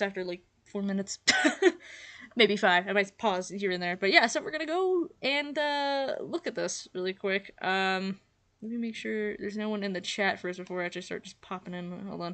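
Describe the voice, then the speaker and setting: A woman's voice: mid-range voice, a bit bright. A young woman with a stuffy, nasal voice from just having woken up talks through her commentary. She rambles loosely, full of fillers, and laughs at herself along the way. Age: young adult. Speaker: channel host. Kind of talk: casual reaction commentary.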